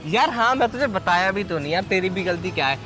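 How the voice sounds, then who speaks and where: High-voiced young man, chatting casually. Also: animated, fast talker, music in the background. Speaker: prank video host. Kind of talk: casual chat, teasing banter.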